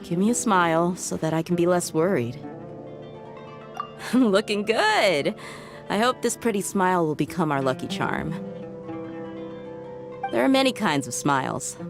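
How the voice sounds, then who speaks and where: A woman voicing a playful, teasing young female mercenary in a game. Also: fairly low pitch. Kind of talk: game voice acting. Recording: game music playing loud behind.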